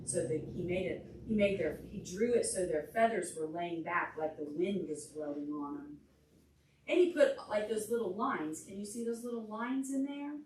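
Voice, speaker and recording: A woman children's librarian reading a picture book aloud expressively to preschoolers, pausing to explain simply and ask them questions. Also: on the low side, darkish tone, distant mic, a little hiss or hum. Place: large carpeted indoor library meeting room. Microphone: distant camera microphone. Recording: roomy, picked up from across the room.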